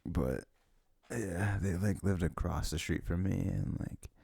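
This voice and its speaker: Male, very low and gravelly, for intimate ASMR rambling; halting, informal, sweary delivery. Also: fairly even intonation, warm tone, no echo, clean studio sound. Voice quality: low, husky, creaky voice. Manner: sniffles habitually. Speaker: ASMR audio performer. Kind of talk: intimate rambling ASMR chat, reminiscing to a listener.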